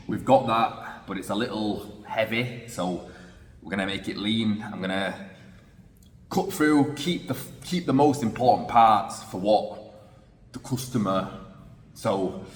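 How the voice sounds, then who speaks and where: Male vlogger, deep and reflective, casual phone recording in a roomy space with faint background noise. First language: English. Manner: reflective, thinking aloud with restarts. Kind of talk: casual vlog talking to camera. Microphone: phone camera. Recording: echoey room with long reverb.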